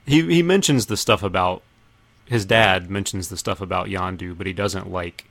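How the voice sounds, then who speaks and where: A man's voice: low voice, normal speed, fairly bright tone, no room sound. A man hosting a casual film discussion with friends, thinking aloud in a tentative way. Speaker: podcast host.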